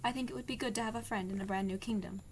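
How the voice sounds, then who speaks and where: A woman playing a young runaway princess in an audio drama, plain-spoken, a little guarded and gently teasing. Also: medium pitch, rapid-fire, slight background noise, close to an everyday voice. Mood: teasing, fond.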